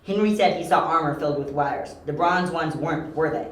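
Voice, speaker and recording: A man playing a clever, determined young detective in an amateur audio drama, wry and quick-witted. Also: a little high, natural voice. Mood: uneasy. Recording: echoey room, amateur home recording.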